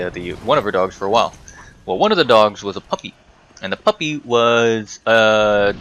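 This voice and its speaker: A man's voice: lowish voice, sharp tone, slight background noise. A man giving casual storytime commentary while playing a game, rambling, correcting himself and muttering swears at the game. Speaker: gaming video host telling stories while playing.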